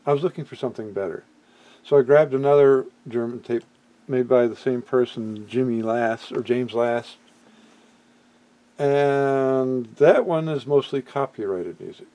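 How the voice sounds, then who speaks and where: A man presenting a hobby video in a casual, unscripted way, halting as he muses aloud and often trailing off mid-thought. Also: medium pitch, dark tone. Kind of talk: casual unscripted product walkthrough.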